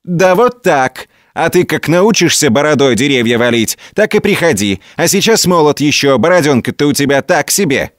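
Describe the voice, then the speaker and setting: Blustering foreman acted by a male storyteller, scornful, boastful, folksy, raised swooping pitch, dry sound. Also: lively intonation, fairly quick, hardly any pauses, no room sound, close to an everyday voice. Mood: mocking. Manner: rude, scornful, boastful folk talk. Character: blustering, mocking older lumber crew foreman, a man.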